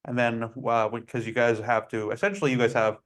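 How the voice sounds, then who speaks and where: A man's voice: mid-range voice, little pitch movement, a little fast, a bit bright. A man interviewing film actors, asking questions in a chatty, enthusiastic way and often using fillers.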